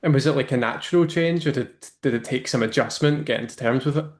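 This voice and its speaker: A man's voice: medium pitch, fast talker. A male interviewer who opens with a scripted introduction and then asks casual questions peppered with likes. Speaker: interviewer and host.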